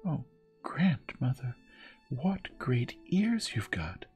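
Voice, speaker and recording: A man voicing a frightened little girl in a fairy tale, speaking high and in breathy whispers. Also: very animated, slow pace, darkish tone, soft background music.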